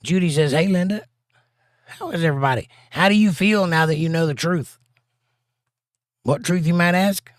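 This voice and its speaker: A slightly hoarse, scratchy-voiced man hosts a laid-back livestream, reading chat messages aloud and riffing on them with goofy asides. His voice is dry and close, with no room around it. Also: on the high side, moderate pace, long pauses, dry recording. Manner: jokey, reads viewer comments aloud, breaks into silly bits.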